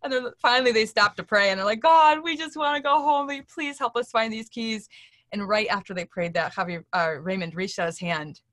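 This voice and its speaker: A woman's voice: on the high side, brisk, a bit bright, dry recording. Earnest middle-aged woman telling a story. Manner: earnest, acts out quoted speech while telling stories. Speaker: interview guest. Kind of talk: animated storytelling in a casual interview. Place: indoor room, seated on a couch on a video call.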